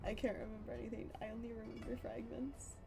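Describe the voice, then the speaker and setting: A woman's voice: on the high side, little pitch movement, quick pace, vocal fry. A woman game streamer chatting casually to her live audience in a playful, rambling way, repeating phrases. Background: game music.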